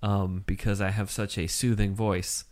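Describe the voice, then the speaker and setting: A man co-hosting a podcast in casual chat. He has a mild speech impediment and rambles and jokes with quick asides. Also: lowish voice, flat delivery, darkish tone. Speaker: podcast co-host.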